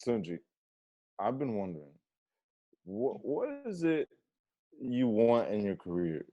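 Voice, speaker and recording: A man's voice: mid-range voice, big pitch swings, measured pace, vocal fry, warm tone, no room sound, lo-fi sound, exaggerated voice. A man acting a drunk, earnest yet exasperated young best friend in a comedic table read, dry and conversational. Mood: earnest tipsy.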